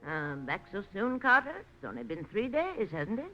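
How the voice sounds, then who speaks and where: An actress playing a stubborn, bossy old great-aunt of about eighty in a 1940s radio drama. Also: female voice, medium pitch, sing-song, normal speed, soft background music, lightly acted. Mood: brusque. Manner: crotchety, bossy, emphatic. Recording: dull, noisy old radio recording.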